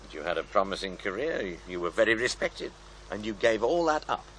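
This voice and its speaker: An actor playing a sceptical programme-maker in a radio drama, asking probing questions in a dry, blunt manner. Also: male voice, on the low side, sing-song, fairly quick, a bit bright, a little hiss or hum, poor audio. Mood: pressing, doubtful. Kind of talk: naturalistic radio drama acting.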